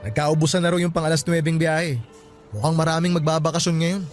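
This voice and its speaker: A male actor plays a playful young man teasing his friends in a Filipino radio drama. His voice is fairly high and expressive, with some mock grumbling. Light music plays behind. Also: fast, natural voice. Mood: casual.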